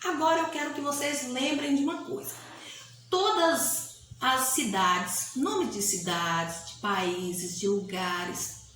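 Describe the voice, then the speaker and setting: Slow-paced Bahian woman teaching, very echoey room. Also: high-pitched, fairly bright tone. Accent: Bahian Brazilian Portuguese. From Bahia, Brazil. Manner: didactic, repeats key points, often checks with tag questions. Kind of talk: recorded grammar lesson for pupils. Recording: echoey room, faint background hiss.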